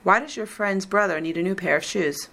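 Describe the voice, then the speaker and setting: A woman reading the script of a recorded listening exam in a slow, deliberate, evenly paced way. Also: mid-range voice, gravelly, fairly bright tone. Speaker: exam reader.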